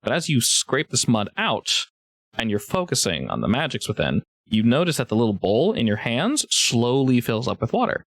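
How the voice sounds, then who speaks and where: A man's voice: medium pitch, wildly swooping pitch, moderate pace. A man improvising narration as game master of a tabletop roleplaying game, informal and joking with the players.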